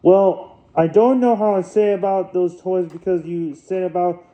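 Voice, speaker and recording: A man's voice: sits high, steady tone, measured pace, muffled recording. A man reviewing and unboxing toys in a casual, rambling way, often stumbling and correcting himself. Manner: rambling, stumbles over words and restarts often. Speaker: toy reviewer.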